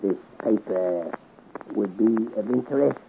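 An actor playing a sly, fawning old rogue with a creaky voice, in a theatrical 1950s radio serial on a thin, noisy recording. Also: male voice, on the low side, a little slow, phone-quality sound. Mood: wary, insinuating. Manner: obsequious, theatrical.

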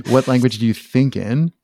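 A man's voice: medium pitch, a little mellow, breathy edge, no echo. A man hosting a podcast interview, talking in a curious, rambling way and often correcting himself mid-sentence. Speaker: podcast host. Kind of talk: casual interview conversation.

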